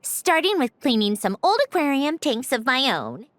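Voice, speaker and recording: A woman's voice: high voice, sing-song, moderate pace, a bit bright, no echo. An actress playing a proud, guarded, self-assured young woman in an English game dub. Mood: guarded, haughty. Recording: background music under dialogue.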